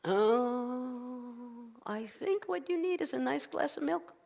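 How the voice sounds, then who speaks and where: A woman voicing a calm, wise village rabbi who gives measured, reassuring advice in a folk tale. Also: sits high, vocal fry, voice pushed up, heavily acted. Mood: kindly. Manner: measured, advising. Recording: echoey hall with long reverb.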